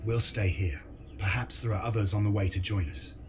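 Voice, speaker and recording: An actor playing a weary, resolute resistance veteran, speaking soberly and seriously in a video game drama. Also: male voice, on the low side, fairly quick, background noise, natural voice. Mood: calm, advising. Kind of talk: dramatic game narration and dialogue.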